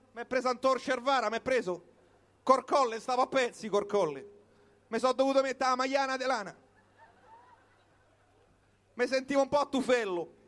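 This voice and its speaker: High-pitched Roman man telling comic stories. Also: fairly bright tone. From Rome, Italy. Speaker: comic performer reciting his own piece. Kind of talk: live comic storytelling monologue built on wordplay.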